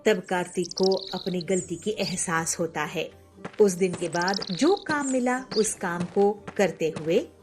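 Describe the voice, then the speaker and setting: A woman narrates a scripted moral tale as a storyteller. Her mid-pitched voice moves up and down with lots of life, over soft background music. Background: faint music.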